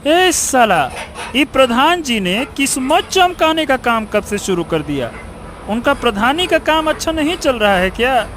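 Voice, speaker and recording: A drowsy, sardonic male donkey from a comic cartoon. The voice sits high and drags along slowly, grumbling as it goes. There is faint noise behind it. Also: very animated, a bit bright, full character voice. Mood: puzzled, wry. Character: sleepy, lazy, sardonic talking male donkey. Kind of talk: comic animated story dialogue.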